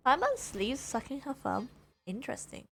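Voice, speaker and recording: Casting: female game streamer, slightly high voice with vocal fry, thinking aloud haltingly. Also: very animated. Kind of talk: live playthrough commentary, musing aloud.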